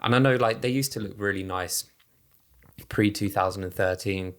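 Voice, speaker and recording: A man's voice: lowish voice, moderate pace. A man co-hosting a podcast, chatting casually with lots of fillers and restarts. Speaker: podcast co-host. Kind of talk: casual chat about racing.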